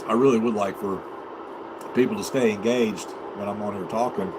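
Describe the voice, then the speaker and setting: An American man chatting casually on a livestream, rambling in a halting, unhurried way as he thinks aloud. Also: lowish voice. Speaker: amateur livestreamer and CB radio hobbyist. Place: home basement. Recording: loud steady background noise.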